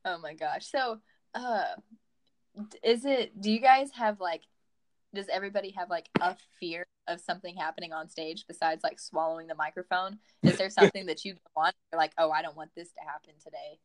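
A woman's voice: lowish voice, brisk, low-quality recording. A woman interviewing a band over a remote call, chatty and upbeat, with many fillers.

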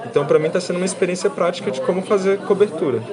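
A man's voice: high voice, rapid-fire. A Brazilian man in his twenties, a student giving casual interview answers, hesitating and repeating words. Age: 20s. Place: congress venue with crowd. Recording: busy event crowd behind the voice.

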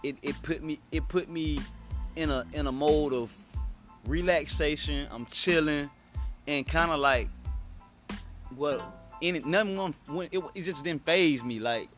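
A man in his thirties with a slightly high voice, speaking in relaxed podcast chat. He stumbles and repeats words as he starts his sentences, with faint music playing underneath. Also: measured pace. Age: 30s. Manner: stammers and repeats words, calls his partner uncle. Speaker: podcast co-host.

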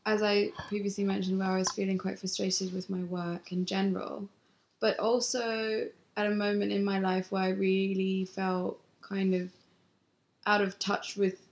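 A woman photographer, talking about her work in a halting, reflective way with frequent restarts. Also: mid-range voice, reverberant. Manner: halting, thinks aloud with many restarts. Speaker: photographer, interview guest. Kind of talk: reflective casual conversation. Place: home.

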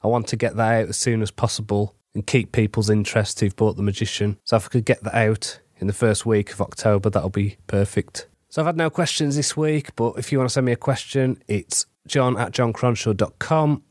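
A middle-aged man from England talks in a relaxed, conversational way, his voice thick and congested from a cold. His voice sits a little low. The recording is close and dry, with no room sound. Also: moderate pace, hardly any pauses, no room sound. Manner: chatty, conversational, self-deprecating asides. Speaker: fantasy author. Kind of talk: casual weekly diary update.

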